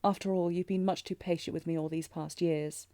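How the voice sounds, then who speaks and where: A woman's voice: lowish voice, fairly even intonation, fairly quick, dark tone, no room sound, natural voice. A woman playing a tender, anxious young princess in a romantic audio drama, speaking formally and hesitantly with sighs. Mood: subdued, probing. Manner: formal archaic diction, hesitant, breathy sighs. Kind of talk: scripted romantic fantasy audio roleplay, intimate.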